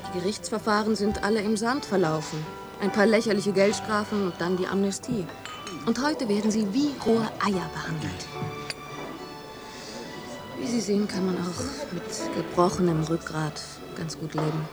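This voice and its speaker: A woman's voice: mid-range voice, normal speed, natural voice. An actress playing a cynical, mocking young woman student in a radio play. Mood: sardonic. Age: young adult. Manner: sardonic, mocking. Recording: radio play with music behind.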